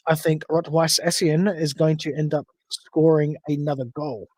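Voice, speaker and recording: Chatty Australian man, higher voice. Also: steady tone, moderate pace, darkish tone, dry recording. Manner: chatty, rambling with self-corrections. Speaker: podcast host. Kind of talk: solo livestream chat.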